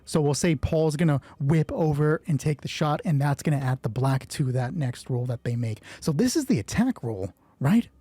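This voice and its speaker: A game master running a solo tabletop roleplay, thinking aloud and narrating in a sweary, excitable way. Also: medium pitch, normal speed, hardly any pauses, breathy edge, no echo.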